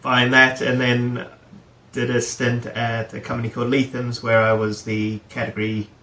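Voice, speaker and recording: A man's voice: mid-range voice, monotone, normal speed, sharp tone, echoey room. A middle-aged man from New Zealand, a guest talking casually about his career, with many fillers and restarts. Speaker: guest, head of procurement. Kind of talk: casual interview chat.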